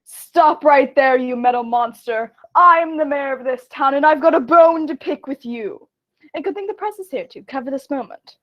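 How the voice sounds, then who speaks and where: Woman playing a brash, high-voiced swaggering mayor. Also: moderate pace, dull audio, lightly acted. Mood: bold defiance. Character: brash, vain, swaggering butt-kicking small-town mayor. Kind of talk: comic stage radio play.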